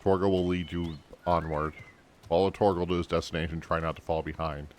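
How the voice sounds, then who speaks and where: A man's voice: sits low, flat delivery, fairly quick. A man who streams games, reading on-screen game text aloud. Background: game music.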